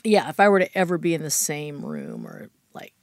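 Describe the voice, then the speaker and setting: A woman's voice: sits low, huge pitch swings, brisk, gravelly, no echo, studio quality. A woman chatting casually as an interview guest, telling anecdotes in an effusive, informal way and often trailing off mid-sentence.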